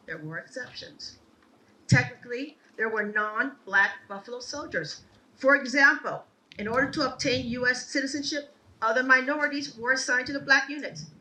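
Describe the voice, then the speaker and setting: A woman giving a prepared speech at a ceremony, telling her family's history in a measured, earnest way. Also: a little high, sharp tone, recorded from a distance, slight background noise, rough recording. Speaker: ceremony speaker. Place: outdoor ceremony.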